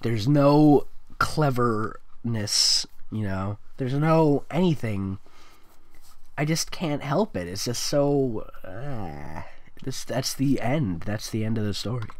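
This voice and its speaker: A man's voice: medium pitch, lively intonation, takes it slowly, no room sound. A man reading fan fiction aloud with sarcastic, mocking ad-lib commentary.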